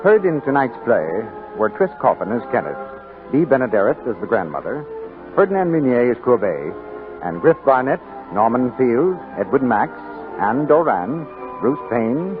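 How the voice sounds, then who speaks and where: A man's voice: mid-range voice, big pitch swings, moderate pace. A man reading formal announcements in a 1940s radio announcing style. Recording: tinny old radio broadcast with music.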